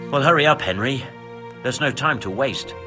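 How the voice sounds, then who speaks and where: An actor playing a brisk, shrewd medieval master in a video game, with a measured, commanding manner. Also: male voice, mid-range voice, expressive, close to an everyday voice. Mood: brisk, impatient. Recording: music behind the voice.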